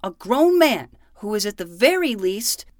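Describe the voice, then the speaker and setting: A woman's voice: mid-range voice, very animated, unhurried, darkish tone, dry recording, clean studio sound. A woman playing an earnest twelve-year-old boy who talks like a grandiose noir detective, sometimes slipping into childish pleading, in a fiction podcast. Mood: grandiose, boastful.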